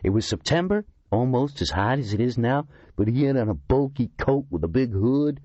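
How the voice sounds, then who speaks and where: A man's voice: mid-range voice, lively intonation, moderate pace, dark tone, slightly rough, no echo, lo-fi sound, voice pushed up. A man voicing an eccentric, talkative middle-aged man who complains indignantly. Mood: uneasy.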